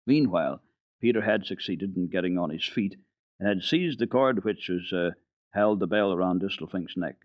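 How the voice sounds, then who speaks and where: A man giving a dramatic reading of a children's book for a radio program, in a lively, expressive storytelling manner. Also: low-pitched, moderate pace, dry recording.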